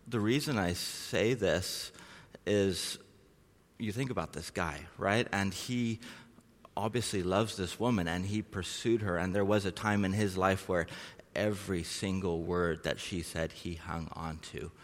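A man's voice: low-pitched, unhurried. A middle-aged man, a missionary, preaching in an informal, chatty, conversational way with prayer and storytelling. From Norway and Southeast Asia. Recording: long hall reverb.

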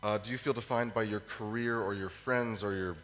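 A man preaches through a cold, his voice hoarse and fading. He talks in a fairly low register, halting with ums and pausing to clear his throat. The sermon rings through a reverberant hall over a faint hum. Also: steady tone, a bit bright. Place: church hall. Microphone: microphone.

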